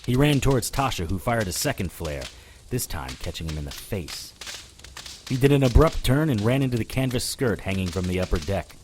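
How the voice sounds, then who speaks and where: An actor playing a tough, wry investigator who narrates his own adventures in a deadpan, hardboiled audio drama style. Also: lowish voice, a little fast, slight background noise, lightly acted. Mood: hardboiled narrating.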